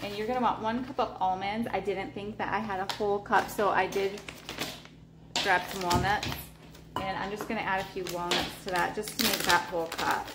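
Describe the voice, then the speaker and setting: A woman casually talking through her meal prep in a home kitchen, relaxed and chatty with lots of ums. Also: medium pitch, normal speed, heavy background noise. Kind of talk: casual cooking vlog narration. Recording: echoey kitchen with clattering dishes. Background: clinking cutlery, dishes and pans.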